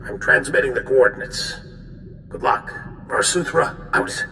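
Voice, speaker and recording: Gravelly, commanding general. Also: male voice, medium pitch, a little slow, a little hiss or hum. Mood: stern. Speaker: military commander giving mission briefing. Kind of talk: video game cutscene acting. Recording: roomy echo, loud music behind.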